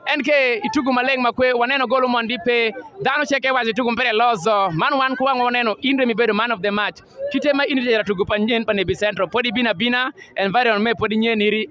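High-voiced, fast sports interviewer. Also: male voice, lo-fi sound. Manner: energetic, switches between English and local language. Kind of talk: post-match field interview. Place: outdoor football field among a large crowd. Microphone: handheld mic. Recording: crowd noise outdoors.

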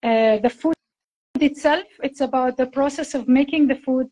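High-voiced woman on a phone call. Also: moderate pace. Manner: gentle, explaining step by step. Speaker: guest cook. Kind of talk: casual video chat. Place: indoor room, phone held close. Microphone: phone at arm's length.

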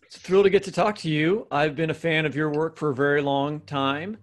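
A man's voice: fairly high pitch, steady tone, a little fast. A man co-hosting a podcast, chatting and interviewing in a conversational way. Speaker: podcast co-host.

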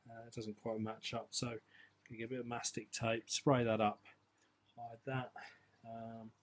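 Flat-toned man in a noisy amateur recording. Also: medium pitch, moderate pace. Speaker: hobbyist vlogger. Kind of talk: casual hands-on video update. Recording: background noise, amateur phone video.

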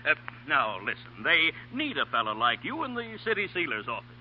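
An actor playing a bullying, scheming corrupt mayor with a gruff, impatient manner in 1940s radio style. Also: male voice, on the high side, wildly swooping pitch, a little fast, slight background noise. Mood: scheming, coaxing. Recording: muffled 1940 radio recording.

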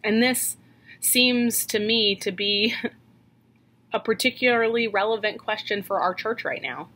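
A woman in her thirties preaching a sermon from a home office into a webcam, earnest and questioning, slowing down to stress key lines. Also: mid-range voice, fairly bright tone. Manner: earnest, questioning, slows for emphasis. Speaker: pastor. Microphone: webcam at desk.

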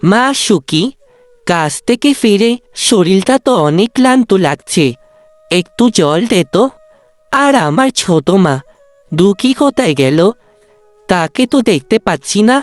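একজন মহিলা কার্টুন গল্পে ক্লান্ত, ধৈর্যশীল, স্নেহশীল মাঝবয়সী বাবার চরিত্রে কণ্ঠ দিচ্ছেন, কথায় কোমল অনুনয়।. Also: female voice, mid-range voice, animated, unhurried, no echo, faint music behind, close to an everyday voice. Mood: weary. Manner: weary, pleading, tender. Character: tired, loving, patient middle-aged father. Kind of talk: Bengali cartoon story voice acting.

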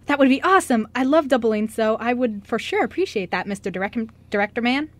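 A woman's voice: on the high side, lively intonation, a little fast, lightly acted. A woman playing a bubbly, impulsive young stuntwoman who gushes and rambles, in an amateur radio play. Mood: eager. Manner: gushing, rambling.

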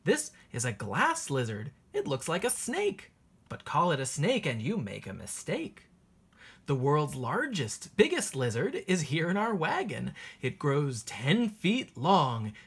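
Female storybook reader: unhurried, sing-song teacher delivery, strongly animated intonation, breathy husky tone. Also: deep voice. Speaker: teacher reading a children's book aloud. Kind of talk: expressive picture-book read-aloud for young children.

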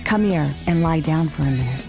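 A woman's voice: low-pitched, lively intonation, brisk, vocal fry, over music. A woman playing a flirtatious, teasing female wrestler in a video game cutscene. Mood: teasing, seductive.

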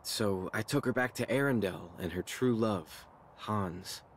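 An actor playing a rugged, gruffly good-hearted young mountain man in a naturalistic video game scene. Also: lowish voice, measured pace, a little hiss or hum. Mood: grave.

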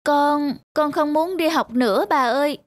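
A woman's voice: high-pitched, measured pace. A woman playing a shy, proud young schoolboy in a cartoon, guarded. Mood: dejected, hesitant. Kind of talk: cartoon voice acting. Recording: music loud behind the voice.

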